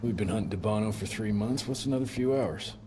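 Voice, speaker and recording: A young actor playing a cool, cocky monster hunter in a video game cutscene. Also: male voice, lowish voice, quick pace, over music, close to an everyday voice. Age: young adult.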